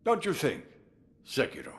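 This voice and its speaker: A man playing a shrewd, commanding old warlord in a video game, with a deliberate, wry and theatrical delivery. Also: natural voice. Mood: wry, grateful. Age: older. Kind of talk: dramatic video game dialogue.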